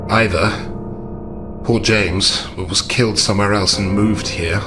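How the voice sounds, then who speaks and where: A man playing a calm, analytical gentleman investigator in a tabletop game, reasoning aloud with deliberate understatement. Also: lowish voice, over music, natural voice. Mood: measured, grave.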